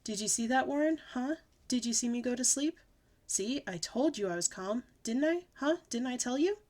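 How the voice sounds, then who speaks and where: A woman doing a loud, bossy little girl. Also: fairly high pitch, animated, moderate pace, voice pushed up, natural voice. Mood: wheedling. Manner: loud, wheedling, breathless kid chatter. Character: bossy excitable little girl, young child. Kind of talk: audiobook character voice.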